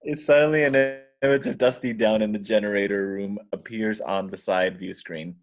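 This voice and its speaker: A man's voice: on the low side, steady tone, moderate pace. A man narrating screenplay action lines at a table read. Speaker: reader of stage directions.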